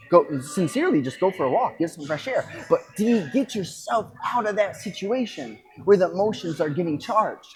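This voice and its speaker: This is a podcast host giving a casual motivational talk in a mid-range voice. The delivery is reflective and emphatic. Phrases are broken by pauses and often repeated to drive them in. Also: mid-range voice, poor audio.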